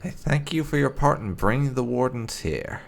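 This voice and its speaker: Man, gamer reading role-play lines, lively swooping intonation, gravelly fry, medium pitch, theatrical delivery. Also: moderate pace. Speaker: gaming channel host, player. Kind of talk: reading game dialogue choices aloud in character.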